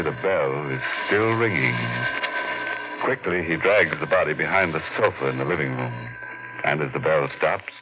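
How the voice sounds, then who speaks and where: Deep-voiced ominous narrator, muffled old radio sound. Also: male voice. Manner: slow, ominous, measured. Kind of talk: scripted dramatic narration. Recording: muffled 1940s radio broadcast.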